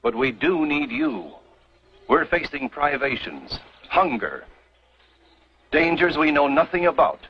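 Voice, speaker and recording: A man's voice: on the high side, sing-song, a little slow, pauses often. An actor playing a stern, grave middle-aged pioneer churchman in a 1950s television western, solemn and insistent. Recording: muffled old TV soundtrack, faint noise.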